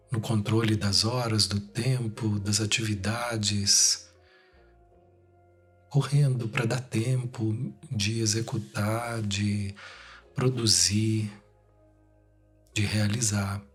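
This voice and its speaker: A Brazilian man leading a guided meditation, speaking in short, unhurried phrases with long pauses between them. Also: on the low side, steady tone, a bit bright, breathy edge. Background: music.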